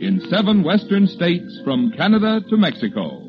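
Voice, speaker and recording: A man's voice: sits high, very animated, normal speed. A man announcing formally on a 1940s radio show, polished and emphatic, on a muffled old broadcast with music.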